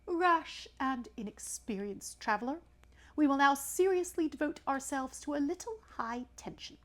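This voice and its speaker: Woman putting on a pompous, drawn-out storybook python. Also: high-pitched, lively intonation, fairly bright tone, pitched above the performer's own voice. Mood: pompous, grave. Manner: pompous, long-winded, drawn-out pronouncements. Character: pompous know-it-all python rock snake, male. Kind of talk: storybook read-aloud character.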